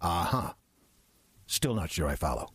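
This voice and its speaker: An actor playing a folksy demon posing as a church usher in an audio drama, husky-voiced, evasive and slyly deflecting. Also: male voice, low voice, very animated, a little slow, a bit bright, dry recording, exaggerated voice. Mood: guarded, evasive.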